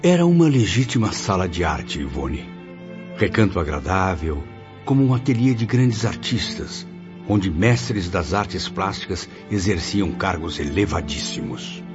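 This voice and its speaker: Male actor as formal, inquisitive memoirist, lowish lively voice, muffled recording with music. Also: measured pace. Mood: reflective. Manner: formal, literary, expressive. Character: courteous, curious spirit man recounting his memoirs. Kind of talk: radio drama acting. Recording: dull audio with music bed.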